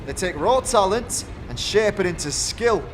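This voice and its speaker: A man voicing a gruff, self-important, defensive foundry foreman with rustic, blustering speech in a fantasy audio drama. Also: high voice, lively intonation, normal speed, a little hiss or hum, voice pushed up. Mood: pompous. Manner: rustic dialect grammar, blustering, self-justifying.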